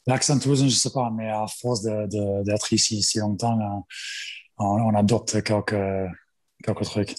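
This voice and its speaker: A man's voice: fairly low pitch, steady tone, measured pace, darkish tone. A man in his forties with an Australian accent, a strength coach who speaks French hesitantly as a podcast guest, with many fillers and restarts. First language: English. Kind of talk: interview guest.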